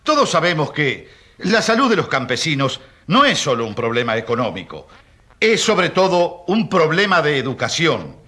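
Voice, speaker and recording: A hostile prosecutor in a radio trial, with a bright, forceful voice in a roomy echo. He declaims with scorn and indignation. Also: a little high, big pitch swings, normal speed. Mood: indignant. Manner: emphatic, declamatory. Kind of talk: courtroom radio drama acting.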